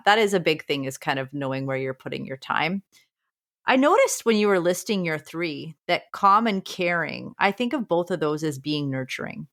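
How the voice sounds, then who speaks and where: A woman teacher co-hosting a podcast speaks in a low, strong voice. She thinks aloud in an earnest way and often restarts her phrases as she reflects. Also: fairly bright tone. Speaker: podcast co-host. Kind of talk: reflective casual conversation.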